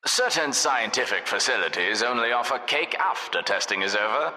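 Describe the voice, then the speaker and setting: A man's voice: medium pitch, expressive, moderate pace, pushed and bright. A man voicing a smug, sadistic test-lab overseer in a video game, mock-polite, sarcastic and theatrical. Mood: falsely welcoming. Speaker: game narrator. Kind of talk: scripted video game narration. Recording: echoey, reverberant hall sound.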